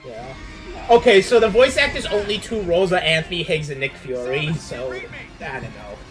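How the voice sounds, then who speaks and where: A man's voice: fairly high pitch, lively intonation, normal speed, music in the background. A man giving casual, conversational commentary as co-host of a reaction stream.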